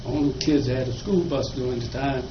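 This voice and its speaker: A man's voice: mid-range voice, brisk. An older man from Virginia, an interviewee telling folksy stories of his childhood with a chuckle. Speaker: oral history interviewee. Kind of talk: slow reminiscing storytelling. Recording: echoey room, dull lo-fi, faint noise.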